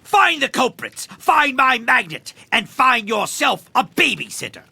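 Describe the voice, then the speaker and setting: Snobby rich tycoon guy, sarcastic n theatrical, creaky sharp voice, audio drama. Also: mid-range voice, big pitch swings, a little slow, dry recording, heavily acted. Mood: irritated, scolding. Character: rich, haughty, sneering male tycoon.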